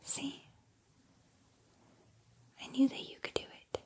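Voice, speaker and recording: A woman voicing a gentle, encouraging combat training robot in a soft, breathy, measured ASMR roleplay whisper. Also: close to an everyday voice. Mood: gentle, affectionate.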